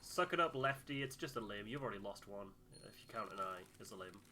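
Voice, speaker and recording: A man's voice: on the low side, animated, fast, a crowd in the background. A man giving casual, jokey commentary on a game he is playing. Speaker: let's player reading game dialogue aloud.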